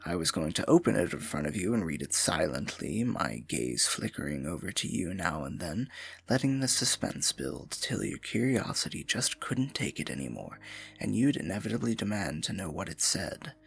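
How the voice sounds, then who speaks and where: A man's voice: low-pitched, very animated, moderate pace, vocal fry, a bit bright, slightly rough, no room sound, exaggerated voice. A man playing a scheming royal advisor in a fantasy audio roleplay, silky, condescending and falsely sympathetic, often dropping to a murmur. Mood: sly, playful. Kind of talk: fantasy ASMR roleplay acting, intimate and theatrical.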